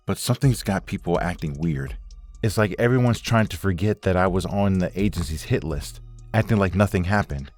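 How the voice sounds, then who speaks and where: A man playing a wary, puzzled family man from a comic book. His voice is low and conversational as he muses, with soft music behind him. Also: lightly acted. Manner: conversational, musing. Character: puzzled, wary husband mulling over a conspiracy. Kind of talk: comic book dub acting.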